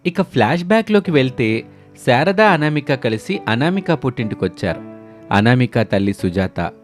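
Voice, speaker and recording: Male storyteller over soft music. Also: mid-range voice, very animated, normal speed. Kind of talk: scripted cartoon story narration. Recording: music under the voice.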